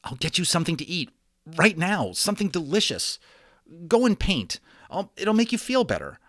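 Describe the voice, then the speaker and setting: A man's voice: high-pitched, sing-song, normal speed, a bit bright, no echo, studio quality, voice pushed up, exaggerated voice. A man voicing an earnest young boy from a children's storybook, pleading and remonstrating in an exasperated way. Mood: placating.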